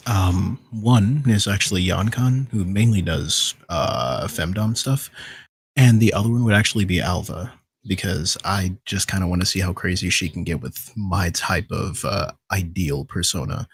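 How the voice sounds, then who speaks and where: A man chatting casually as a podcast guest in a measured, articulate manner. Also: sits low, breathy edge, no room sound. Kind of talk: casual chat.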